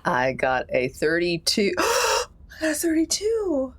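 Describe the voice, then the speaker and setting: A woman's voice: sits high, huge pitch swings, takes it slowly, fairly bright tone. A woman chatting casually and reactively as a player on a tabletop game podcast.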